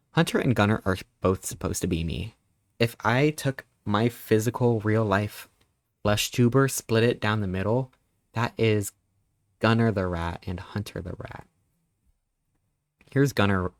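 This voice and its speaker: A man in his thirties, a live streamer chatting casually and greeting viewers, rambling playfully with sudden squeaky jumps in pitch. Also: moderate pace, no echo. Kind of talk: casual live-stream chat reading chat messages.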